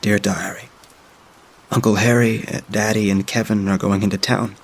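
A frightened young child pours out diary entries in a low, gravelly, husky voice, stumbling over fillers and restarting sentences, as a man reads the part. The delivery stays flat and subdued, barely rising or falling. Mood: hushed, halting. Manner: halting, with frequent filler sounds. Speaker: storyteller. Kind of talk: first-person diary reading.

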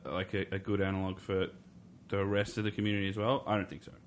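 A man's voice: deep voice, completely flat delivery, fast, slight background noise. A man co-hosting a gaming podcast, rambling casually with lots of fillers and restarts. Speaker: podcast co-host.

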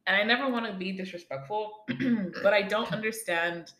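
A woman's voice: mid-range voice, normal speed, fairly bright tone. A woman in her thirties hosting a casual chat, easygoing and blunt, swearing freely. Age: 30s. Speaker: host. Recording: roomy echo.

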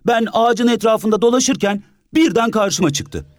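A man's voice: sits high, lively intonation, no room sound, pitched above the performer's own voice. A man voicing a hesitant little boy who stumbles over his words. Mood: unsure. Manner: halting, unsure.

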